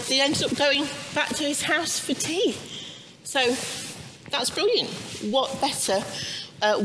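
A middle-aged woman giving an informal children's sermon as a priest, chatty and halting, with frequent asides. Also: mid-range voice. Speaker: priest leading a children's service. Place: inside a church, standing before the altar. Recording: clearly audible background noise.

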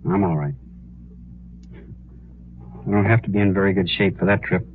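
Young condemned cowboy, lowish voice, weary, earnest, halting, tinny old radio drama. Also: male voice, steady tone, a little hiss or hum. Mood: weary, subdued. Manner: plain, halting rustic speech. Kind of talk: 1950s radio western acting.

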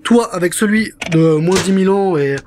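A man's voice: high-pitched, normal speed, a little mellow, a little hiss or hum, close to an everyday voice. A young man playing an eager, bossy pop-culture geek in a slangy radio drama. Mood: bossy, scheming. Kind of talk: amateur radio drama, slangy French youth dialogue.

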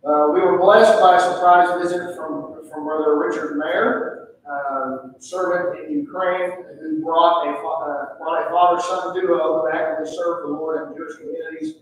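A man's voice: fairly high pitch, fairly even intonation, moderate pace, hardly any pauses, slight background noise. A man in his thirties reads a letter aloud from a church pulpit, stumbling often with filler uhs and restarts. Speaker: pastor reading a missionary letter. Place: large church sanctuary. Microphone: pulpit mic, filmed from far back. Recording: echoey sanctuary from far off.

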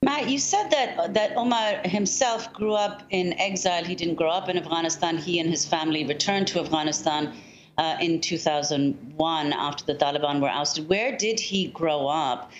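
A young woman co-hosting a news interview, putting questions with hesitant pauses between phrases. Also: deep voice, slight background noise. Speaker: news show co-host. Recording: slightly dull, lo-fi remote link.

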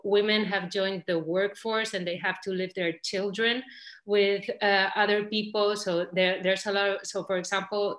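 Young woman, mid pitch, hesitant, many "uh"s, academic panel, roomy-sounding video call. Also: fairly bright tone. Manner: hesitant, frequent "uh", restarts. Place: room at home with bookshelf. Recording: echoey room on a video call.

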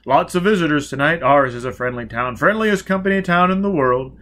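A man's voice: on the high side, fairly quick, a bit bright, pitched above the performer's own voice, close to an everyday voice. A man voicing a boastful, overeager small-town mayor in an audiobook, with clipped, exclamatory sales patter. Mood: cheery welcome.

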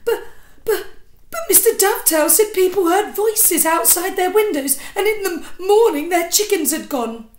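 A small, frightened boy in a bedtime storybook, acted by a woman. The voice is high and earnest, stammering and gasping over its words in a roomy space. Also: animated, normal speed, darkish tone. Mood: anxious. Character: frightened five-year-old boy, sobbing, earnest and wide-eyed.

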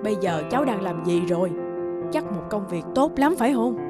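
A woman's voice: medium pitch, animated, fairly quick, lightly acted. Woman playing fussy middle-aged cartoon mom, exclaims a lot, northern vietnamese accent, music behind. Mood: impressed, eager. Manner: exclamatory, probing, lamenting. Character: fretful middle-aged mother, quick to scold. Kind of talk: animated cartoon dialogue.

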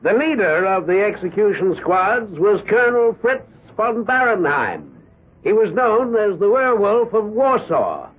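Courtroom lawyer in old radio play, high voice, formal, deliberate, muffled sound. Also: male voice, very animated, breathy edge, full character voice. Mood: formal, probing. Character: formal, probing male defence counsel in court. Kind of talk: 1970s radio drama acting. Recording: muffled lo-fi 1970s radio recording.

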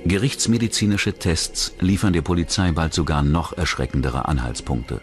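A man narrating a scripted true-crime documentary in a measured, matter-of-fact manner. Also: low voice, faint music behind.

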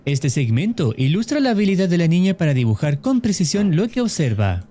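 A man delivers formal scripted narration for a video essay. His voice is mellow and a little high, with animated rises and falls, and he explains in a measured way.

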